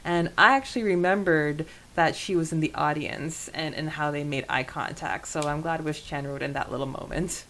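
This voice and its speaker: Lower-voiced woman chatting casually. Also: moderate pace, hardly any pauses, a bit bright, a little hiss or hum. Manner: chatty, informal. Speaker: podcast co-host. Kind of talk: casual book discussion chat.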